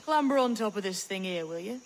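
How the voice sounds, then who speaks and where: A performer playing a young pirate companion in a video game, knowing, measured and teasing, with cryptic turns. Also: mid-range voice, expressive, natural voice. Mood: calm, mystical. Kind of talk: video game cutscene acting. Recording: clear background noise with clicks and insects.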